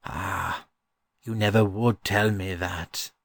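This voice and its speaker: A man voicing a gentle, wistful old scholar in an audiobook, musing fondly and haltingly. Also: deep voice, fairly even intonation, gravelly, no room sound, studio quality, full character voice. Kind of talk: audiobook character voice.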